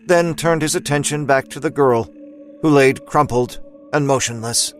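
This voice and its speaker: A man narrating a scripted dramatic story with deliberate, literary phrasing. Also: medium pitch, slight background noise.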